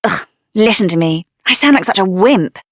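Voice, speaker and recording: An actress voicing a spirited, headstrong young woman adventurer in a video game, expressive and earnest, with a tender side. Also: mid-range voice, moderate pace, no echo, clean studio sound, lightly acted. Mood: troubled, downcast.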